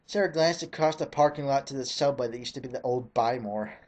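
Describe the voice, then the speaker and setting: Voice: medium pitch, a little fast, a little mellow. A reader giving a mock dramatic reading in a theatrical, exasperated way, breaking off for sarcastic asides. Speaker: reader of fan fiction.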